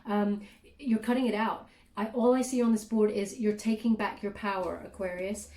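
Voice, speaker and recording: A woman with a warm, mellow tone gives a casual tarot reading in a roomy, echoing space. She talks in a loose, chatty way, full of fillers and asides, and often checks in with "okay?". Her pitch sits in the middle for a woman. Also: steady tone, normal speed. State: clearing throat, voice catching. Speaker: tarot reader.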